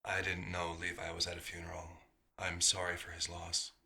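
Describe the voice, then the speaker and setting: A man's voice: sits low, monotone, moderate pace, vocal fry, bright, forceful tone, exaggerated voice. A man playing a bewildered, hungry vampire doctor turned human, speaking formally and hesitantly in an audio roleplay. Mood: earnest, polite.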